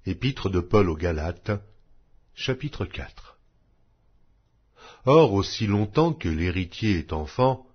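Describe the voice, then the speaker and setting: A man giving a solemn, measured scripture reading, with emphatic rises on key phrases. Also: mid-range voice, husky. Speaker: Bible reader. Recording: dull lo-fi recording.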